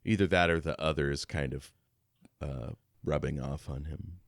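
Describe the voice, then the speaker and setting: A man co-hosting a podcast, casually discussing a book and thinking aloud in a halting way. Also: rumbling low, fairly even intonation, vocal fry, no room sound, clean studio sound. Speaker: podcast co-host.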